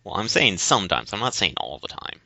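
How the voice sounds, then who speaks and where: A man co-hosting a casual discussion podcast, explaining things in a halting, rambling way. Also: low voice, big pitch swings, gravelly, bright, forceful tone, no room sound. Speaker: podcast co-host. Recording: dull, lo-fi voice recording.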